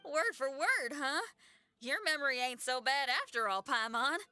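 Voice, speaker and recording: A bright, down-to-earth young woman. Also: high-pitched, expressive, moderate pace, no room sound, faint music behind. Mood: teasing. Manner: folksy, casual, reassuring. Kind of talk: English video game voice acting.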